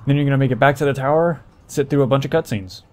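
A man's voice: mid-range voice, fast, darkish tone. Man giving a casual game walkthrough. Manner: informal, explanatory. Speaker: gameplay walkthrough host.

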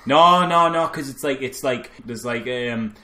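A man with a medium-pitched voice talks as a co-host on a film review podcast. He chats casually and spins anecdotes in a joking, sweary style. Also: a little slow, pushed and bright. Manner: jokey anecdotal storytelling, sweary.

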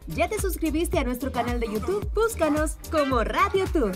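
A woman reading a station promo voice-over in a bright, lilting delivery. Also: high voice, sing-song, moderate pace, music in the background. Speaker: station announcer.

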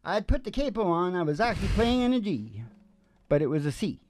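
A man's voice: high voice, big pitch swings, a little fast, darkish tone. An older man, a musician hosting a show, chats casually and meanders in a folksy way into a close stage microphone. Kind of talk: rambling casual stage banter. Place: small curtained performance stage indoors. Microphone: stage microphone on a stand, close up. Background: acoustic guitar.

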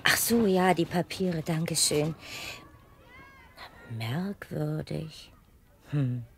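An actress playing a brisk, kindly matron of a children's home in an audio play, musing in a familiar manner. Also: female voice, low-pitched, gravelly, husky, heavily acted. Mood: puzzled, musing. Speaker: voice actor.

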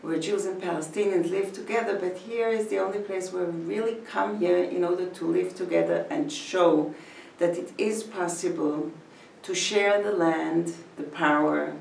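Older woman, gravelly and roomy. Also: lowish voice, steady tone, darkish tone, slight background noise. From Switzerland. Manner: measured, phrase by phrase, with pauses. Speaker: community educator. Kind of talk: talking to camera about her village. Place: indoor room in front of a painted mural. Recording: echoey room, mic at a distance.

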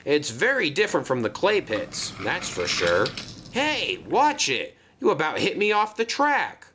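A man's voice: fairly high pitch, wildly swooping pitch, unhurried, background noise, pitched above the performer's own voice. A man voicing a wry, grumbling male engine in a children's train story, given to complaining. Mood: annoyed.

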